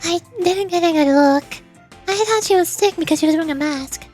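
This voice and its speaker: Sweet, fussy little girl teacher, very high and hesitant, for an anime game played by a woman. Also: a little fast, darkish tone, soft background music. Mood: unsure. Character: earnest, flustered little schoolteacher girl, sweet and polite.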